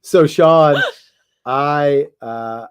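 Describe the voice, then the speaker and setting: A man's voice: a little high, very slow, clean tone. Chatty, jokey male co-host. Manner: chatty, jokey, trails off and restarts. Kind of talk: casual livestream chat.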